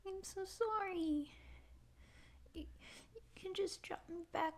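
High-pitched, childlike catgirl. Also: exaggerated voice. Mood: apologetic, tearful. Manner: childlike, pouty, halting. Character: naive, tearful stray catgirl would-be space pirate. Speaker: ASMR voice actor. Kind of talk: ASMR roleplay audio drama.

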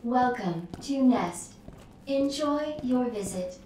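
A woman voicing a calm, polite automated facility announcer in a video game, formal and impersonal. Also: fairly high pitch, slow pace, slight background noise. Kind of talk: scripted public address announcement in a game. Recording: distant, very echoey speaker announcement.

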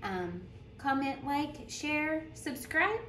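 A woman reading a picture book aloud like a teacher, telling it deliberately and putting questions to young listeners. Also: high-pitched, lively intonation, a bit bright. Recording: roomy echo with clear background noise.

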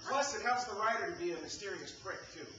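Actor as high-pitched know-it-all, echoey theater. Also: male voice, animated, quick pace, muffled recording. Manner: smug, matter-of-fact explaining. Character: know-it-all, dry, exasperated theatergoer explaining to friend. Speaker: stage actor. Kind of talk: comic stage dialogue. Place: live stage performance before an audience. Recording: echoey, noisy theater recording from far off.

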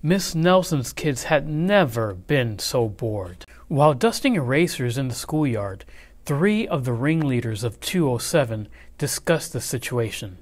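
A man reading a children's picture book aloud in an expressive, measured, dramatic way. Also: mid-range voice. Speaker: read-aloud storyteller.

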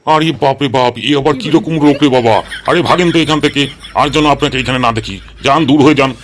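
Man playing a fast-talking, exasperated cartoon doctor. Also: a little high, a bit bright, slight background noise, natural voice. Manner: blunt, scolding, colloquial. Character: exasperated, money-minded male cartoon doctor. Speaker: cartoon voice actor. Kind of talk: comic Bengali cartoon dialogue.

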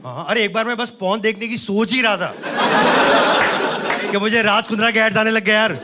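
A man in his thirties performing an animated stand-up comedy set on a club stage with a handheld mic, teasing the audience. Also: high-pitched, brisk. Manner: animated, gestures a lot, teases the audience. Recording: loud crowd laughter and noise. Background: audience laughter.

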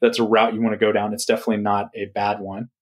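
Low-voiced man chatting as podcast guest. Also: little pitch movement, fast talker. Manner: conversational, often says "right?". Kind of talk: casual interview answers.

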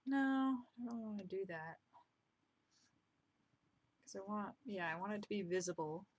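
A young woman talks through her drawing at her desk, her voice sitting at an ordinary middle pitch. She is chatty and self-deprecating, often trailing into soft muttered asides. It sounds like a simple home desk-mic recording. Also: lively intonation, a little slow, rough recording. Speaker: drawing vlogger. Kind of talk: casual commentary while drawing. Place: home room, indoors.

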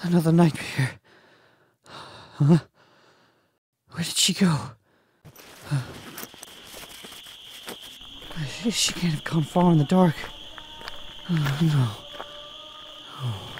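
A man's voice: on the high side, slow pace, long pauses, a little mellow, slight background noise. A man voicing a haunted, anguished young man narrating his nightmare in a horror manga dub. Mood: distressed. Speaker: voice actor and narrator.

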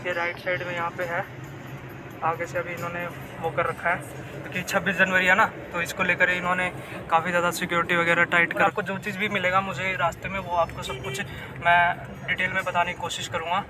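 Young man from Bihar, high flat voice, casual phone vlog over loud street traffic. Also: fairly quick. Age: young adult. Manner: chatty, repeats himself, mixes in English words. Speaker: travel vlogger. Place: outdoors in the city, then inside a moving car. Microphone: phone held at arm's length. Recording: city traffic noise behind.

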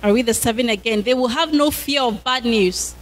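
A woman's voice: fairly high pitch, fairly quick. A woman in her thirties preaching a sermon with a handheld mic in a church hall, fervent and punctuated by hallelujahs.

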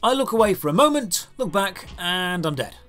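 A man narrates a comedic game review in a high, swooping voice. His delivery is sarcastic and exasperated, clearly scripted for a voice-over, with music playing behind him. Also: expressive, normal speed, fairly bright tone.

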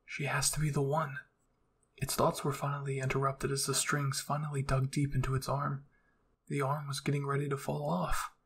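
Gravelly, even-toned male storyteller. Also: mid-range voice, moderate pace. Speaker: narrator reading fan fiction. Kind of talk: scripted audiobook-style narration.

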